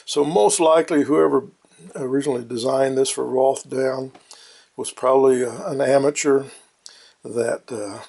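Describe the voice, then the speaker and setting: A man giving an informal, halting, plain-spoken and folksy technical explanation as he demonstrates an antique radio. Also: medium pitch, gravelly, a little mellow, husky.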